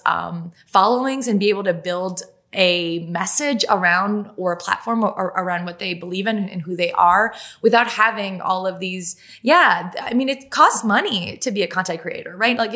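A woman's voice: mid-range voice, fairly bright tone. A woman with a French Canadian accent, a native French speaker, talking casually as an interview guest and filling her sentences with "like". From Quebec, Canada. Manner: chatty and quick, heavy use of "like" fillers. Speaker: journalist and author, guest.

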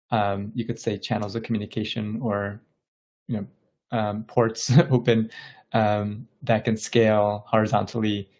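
A man's voice: fairly low pitch, darkish tone. A man from Bangladesh speaking as an interview guest, explaining things casually and thoughtfully, often searching for his words. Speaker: tech company founder interviewed as podcast guest.

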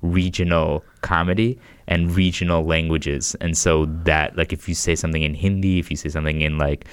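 A man's voice: extremely low pitch, fairly even intonation, fairly quick, smooth, dry recording. A young American man, a comedian, chatting casually with lots of restarts and fillers. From United States. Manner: rambling, restarts, frequent "like". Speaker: comedian guest. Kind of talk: casual podcast chat.

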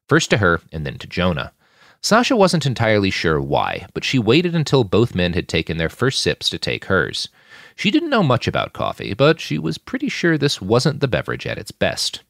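A man's voice: sits low, vocal fry, fairly bright tone, dry recording, studio quality. A man reading scripted fiction as an audiobook narrator.

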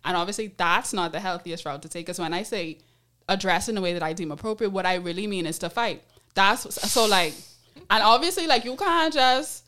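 Female podcast host, Bahamian accent, candid and animated storyteller, casual dialect phrasing, mid-range voice. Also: mid-range voice, brisk, a little hiss or hum.

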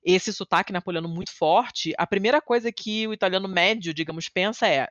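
A woman's voice: fairly quick, no echo, dull audio. A woman from Rio de Janeiro, chatting casually and animatedly, swearing and poking fun at herself. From Rio de Janeiro, Brazil. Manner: animated, swearing, self-mocking, jumps to high pitch when excited. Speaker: podcast guest living in Italy. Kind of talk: casual conversation.

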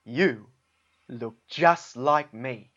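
A man voicing an innocent, wistful storybook bunyip who speaks slowly and earnestly. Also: mid-range voice, fairly bright tone, highs cut off. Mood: delighted. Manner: slow, earnest questioning.

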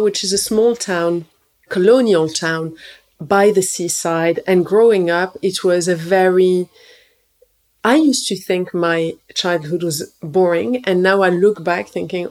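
A woman who grew up in Morocco, a nutritionist guest in an interview, telling stories about her childhood in a lively way. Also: lowish voice, unhurried. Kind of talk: interview conversation.

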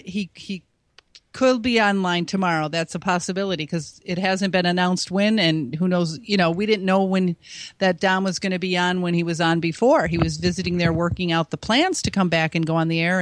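A woman's voice: lowish voice, dry recording. Chatty woman guest on a radio show. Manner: chatty, talks freely. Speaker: guest, amateur radio operator. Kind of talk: casual interview chat.

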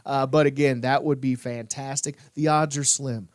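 A man's voice: mid-range voice, steady tone, normal speed, no room sound, clean studio sound. A man hosting a sports podcast in a casual, jokey style, playful and given to hyperbole.

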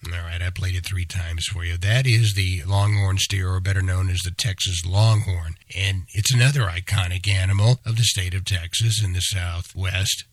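A man hosts an educational podcast, talking slowly and plainly. His voice is very low and husky, with a scratchy hoarseness. The recording is dry and close, with no room sound. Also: a bit bright, dry recording. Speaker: podcast host. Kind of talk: educational podcast talk for kids.

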